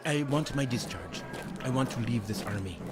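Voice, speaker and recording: A man's voice: medium pitch, music in the background, close to an everyday voice. A man playing a kindly, devout Roman soldier in an audio drama, speaking earnestly and dramatically. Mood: fervent resolve. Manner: earnest, dramatic, halting when moved.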